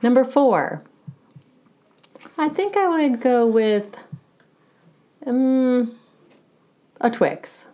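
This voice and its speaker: An adult woman with a naturally loud voice, chatting casually as a podcast co-host. She talks in a playful, teasing way, joking with her partner. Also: on the high side, expressive, very slow, long pauses. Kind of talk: casual chat.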